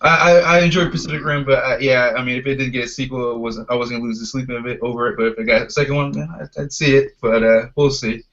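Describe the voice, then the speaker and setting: A man in his thirties with a mid-pitched voice hosts a casual podcast chat. He rambles along conversationally and swears freely. The audio is muffled and lo-fi. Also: fairly even intonation, fast talker, few pauses, fairly bright tone. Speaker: podcast host. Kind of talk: casual chat about video game movies.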